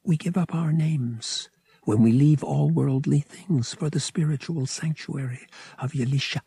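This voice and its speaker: A man voicing a guarded, soft-spoken young woman, a devout pilgrim worker, polite and reticent, in an audiobook. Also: a little high, measured pace, creaky, husky, no room sound, voice pushed up, full character voice.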